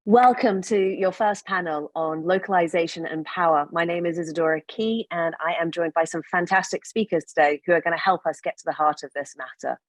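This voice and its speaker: A woman's voice: fairly low pitch, a little fast, few pauses, dry recording. A woman moderating an online conference panel, upbeat and welcoming, introducing speakers.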